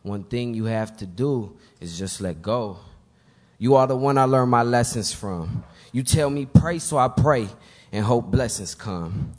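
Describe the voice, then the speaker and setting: A man recites spoken-word poetry in a medium-pitched voice. His delivery is earnest and rhythmic, broken into short phrases. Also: lively intonation, a little slow. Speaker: slam poet.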